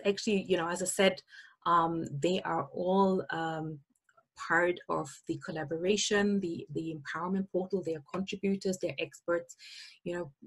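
A woman's voice: fairly low pitch, husky. A woman from Austria, not an English speaker, hosting an online summit in a chatty presentation with many fillers, over a webcam on a video call. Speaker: summit host. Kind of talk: webinar presentation. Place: indoor room.

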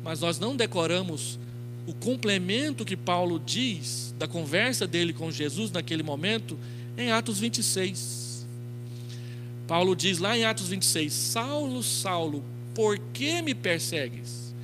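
A man's voice: high-pitched, lively intonation, takes it slowly, music in the background. A middle-aged man with a Brazilian accent preaching an expository sermon into a handheld microphone, telling stories with quoted dialogue and rhetorical questions. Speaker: pastor. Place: church stage, indoors. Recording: clearly noisy with mains hum.

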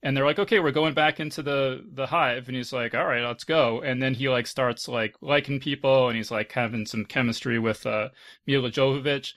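A man hosting a podcast in casual chat, conversational and halting, often circling back on his words. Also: mid-range voice, fairly even intonation, a bit bright. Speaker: podcast host.

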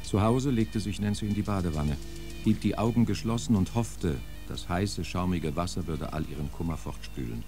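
A man's voice: low-pitched, normal speed. A man playing the grave narrator of a scripted horror audio drama. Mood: ominous calm. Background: prominent music.

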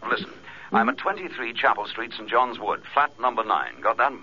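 A man playing a steady, determined police inspector in a radio drama, measured and authoritative, with a kindly streak. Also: medium pitch, a little hiss or hum. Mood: urgent. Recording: dull lo-fi radio drama recording.

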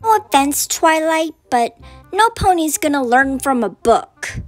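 A woman's voice: sits high, lively intonation, unhurried, dry recording. A woman voicing a brash, sporty young female pegasus in a cartoon comic dub, over music. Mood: irritated. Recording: music under the voice.

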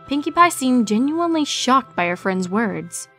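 Female story narrator with soft music behind. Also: fairly high pitch, very animated, normal speed.

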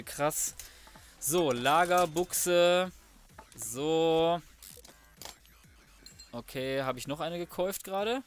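A man with a slightly high voice commentates a video game in a casual, chatty way. He talks himself through what he is doing in loose slang, with music faintly behind him. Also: a bit bright. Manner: chatty, self-narrating, slangy. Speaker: let's player commentating.

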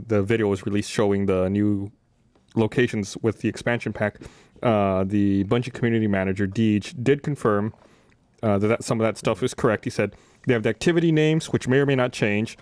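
A man's voice: fairly low pitch, fairly even intonation, moderate pace, a little mellow, no echo. A man in his thirties casually talking through gaming news as a podcast host, close on a studio microphone. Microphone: desk studio microphone, close.

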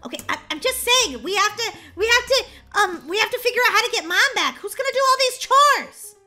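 A woman voicing an excitable, entitled young girl in a children's game roleplay, with an exaggerated, dramatic delivery. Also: high-pitched, normal speed, soft background music, full character voice. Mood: panicked.

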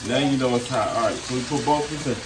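A man's voice: mid-range voice, fast talker. A middle-aged man casually coaching someone through cooking, with slangy, teasing talk. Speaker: uncle teaching his nephew to cook. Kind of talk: casual instructional home cooking video. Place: home kitchen, indoors. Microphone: camera set a few feet away. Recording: roomy, distant mic, loud sizzling noise. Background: frying sizzle, running water.

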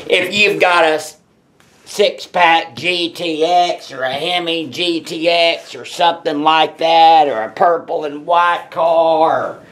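A man's voice: a little high, fairly bright tone. A man who sells car parts, talking bluntly in a casual way to the camera from an indoor parts workshop. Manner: blunt, plain-spoken, deliberate. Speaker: parts seller explaining brakes. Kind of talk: casual shop talk to camera.

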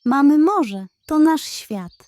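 A woman's voice: high voice, lively intonation, slow pace, darkish tone, no room sound, clean studio sound, voice pushed up. Woman playing a lazy, sceptical older seal. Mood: doubtful advising.

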